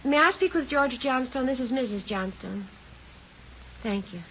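A woman's voice: high voice, lively intonation, normal speed, a bit bright. An actress playing a worried but composed mother in her forties in an old radio drama, on a dull, hissy recording. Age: 40s. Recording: dull vintage radio recording with faint hiss.

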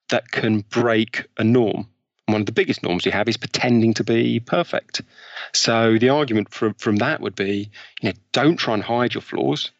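A man's voice: fairly low pitch, no echo, lo-fi sound. A man, a guest author on a podcast, eagerly explaining studies and examples. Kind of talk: podcast interview.

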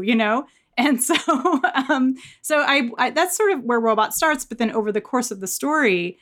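Female interview guest, medium pitch, informal and explanatory, an author discussing work. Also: a bit bright.

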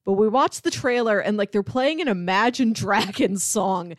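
A woman's voice: medium pitch, moderate pace, no room sound. Woman co-hosting a podcast, dry close sound. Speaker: podcast co-host. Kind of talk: casual explanatory film podcast chat.

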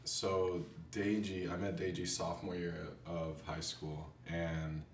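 A man chatting casually as a music producer guest, telling stories in a loose, halting way. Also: low-pitched, level tone. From born in Haiti. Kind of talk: casual chat. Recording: roomy, distant mic with faint background noise.

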